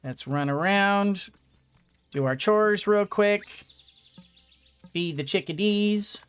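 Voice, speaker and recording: A woman giving casual video game commentary, halting as she thinks aloud. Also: medium pitch, smooth. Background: game music.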